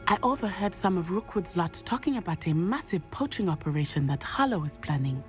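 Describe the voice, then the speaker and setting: A woman's voice: fairly low pitch, lively intonation, lightly acted. A woman playing an earnest, determined student. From Matabeleland. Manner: earnest, formal, measured. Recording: game audio with faint music.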